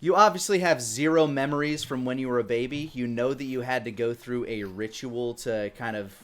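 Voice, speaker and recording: A man running a live tabletop game as game master, narrating scenes descriptively with halting delivery. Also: medium pitch, fairly bright tone.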